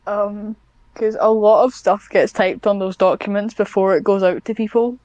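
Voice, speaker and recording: A woman talks casually as an interview guest in a smooth, crisp voice recorded very dry. She is hesitant and self-deprecating, feeling her way through answers with a giggly streak. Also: mid-range voice, fairly even intonation, normal speed, a little mellow, dry recording, highs cut off. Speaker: podcast guest, scriptwriter.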